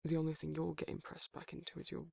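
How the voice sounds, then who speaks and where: Man acting a male werewolf boyfriend, very breathy and husky, heavy fry, tender, teasing, intimate ASMR roleplay. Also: fairly high pitch, monotone, fast, a little mellow, no room sound, clean studio sound, heavily acted. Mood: fussing, flustered.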